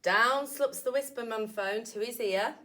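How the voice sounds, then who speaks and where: A woman's voice: a little high, a little slow, sharp tone. A woman, a primary school teacher, reading a picture book aloud expressively to young children with asides.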